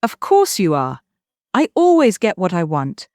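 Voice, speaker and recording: A woman voicing a greedy, bossy young princess who shouts her demands in a shrill voice. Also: huge pitch swings, measured pace, dry recording, clean studio sound. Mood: gleeful.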